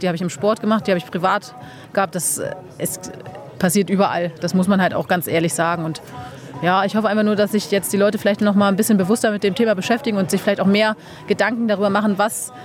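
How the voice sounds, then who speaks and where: A young woman, a bobsleigh pilot, answering interview questions frankly and casually, with many fillers. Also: medium pitch. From Germany.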